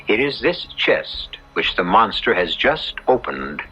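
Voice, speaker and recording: Grave radio horror narrator, low forceful voice, formal dramatic phrasing, muffled old broadcast. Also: male voice, sing-song, a little slow, slightly rough, heavily acted. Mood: grave recap. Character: grave, ornate storyteller introducing a horror tale. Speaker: narrator host. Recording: muffled vintage radio broadcast, faint music.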